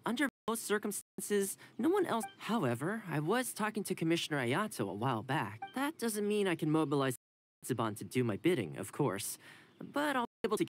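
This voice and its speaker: A man's voice: a little high, sing-song, a little fast. A man playing a friendly, considerate young retainer in a video game, with a light, easygoing, earnest delivery. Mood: friendly, reassuring. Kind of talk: video game dialogue acting. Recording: game music behind.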